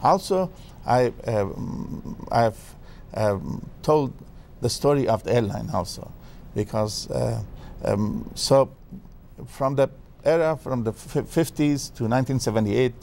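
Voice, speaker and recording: Older Afghan guest expert, halting and full of fillers, dark and gravelly voice, faint noise behind. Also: male voice, mid-range voice, animated. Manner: hesitant, many fillers and restarts. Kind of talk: TV interview.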